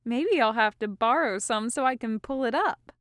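A woman's voice: fairly high pitch, lively intonation, normal speed, fairly bright tone, no echo, studio quality. A woman voicing a bold, careless pirate woman who teases and bosses her friends in a fantasy story. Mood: teasing. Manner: careless, teasing, commanding.

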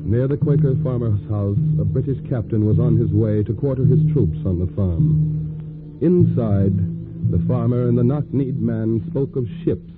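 A man's voice: medium pitch, natural voice. Male actor as solemn radio narrator over music. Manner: measured, rhetorical. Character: solemn, stirring storyteller of American history. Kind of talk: scripted dramatic radio narration. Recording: muffled old radio broadcast over music.

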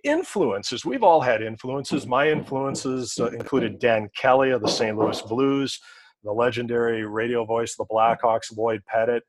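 A man's voice: mid-range voice, measured pace, rough recording. An American man hosting a sports podcast, interviewing in a conversational way. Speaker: podcast host and sports broadcaster.

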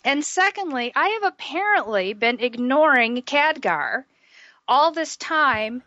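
A woman co-hosting a casual gaming podcast, slightly hoarse from a sore throat, chatty and self-deprecating, explaining at length. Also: high-pitched, sing-song, measured pace, bright, forceful tone, dry recording, highs cut off. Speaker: podcast co-host.

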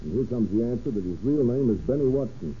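An actor playing a brisk, wry, unyielding chief of detectives in a 1930s radio drama. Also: male voice, medium pitch, expressive, lightly acted. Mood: brisk, pleased. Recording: muffled, noisy old radio recording with hum.

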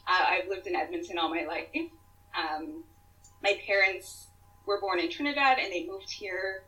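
Bright, giggly woman on a distant mic. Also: lowish voice, normal speed. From Canada. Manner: giggly, chatty, filler-heavy. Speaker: podcast guest. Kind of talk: casual remote podcast chat.

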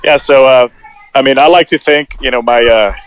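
A man's voice: mid-range voice, normal speed, smooth. A man in his forties from Puerto Rico, a podcast guest telling his life story in a loose, rambling way full of "you know" fillers. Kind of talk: casual storytelling chat.

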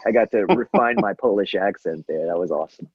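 A man's voice: on the low side, a little fast, slightly rough, dry recording, dull audio. A man co-hosting a podcast, chatting casually in a laid-back, wry, musing way. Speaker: podcast co-host. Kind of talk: casual comedic chat.

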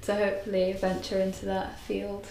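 A woman's voice: mid-range voice, completely flat delivery, normal speed, creaky, dark tone. A sixteen-year-old young woman, answering interview questions. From North London. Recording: echoey room, faint noise.

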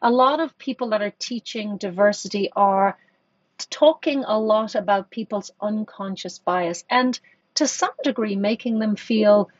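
Husky-voiced woman, podcast guest. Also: medium pitch, measured pace, no echo, dull audio. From Ireland. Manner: thoughtful, many restarts and fillers. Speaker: guest, corporate executive. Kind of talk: conversational podcast interview.

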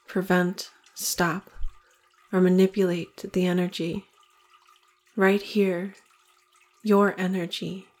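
A woman leading a guided meditation in slow, deliberate phrases with long pauses between them. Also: fairly low pitch, darkish tone.

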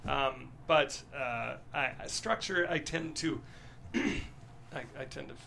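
A man's voice: mid-range voice, slow, creaky, bright, forceful tone, slight background noise. A man speaking as a screenwriter guest, talking candidly and passionately about his writing in a rambling way, often checking that listeners agree.

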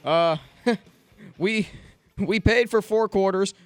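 A man hosting a sports podcast from a studio, recapping a football game with emphatic excitement. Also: sits high, takes it slowly, faint music behind. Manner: excited, emphatic, addresses co-host by name. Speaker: podcast host. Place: radio studio.

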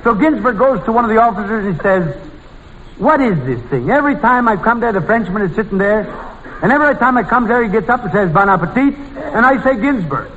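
A man's voice: expressive, fast, fairly bright tone, big-room sound. An actor playing a talkative man telling gags as a panelist on a 1940s radio comedy. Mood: storytelling. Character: talkative male raconteur pushing his gags. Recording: boomy, muffled old radio recording.

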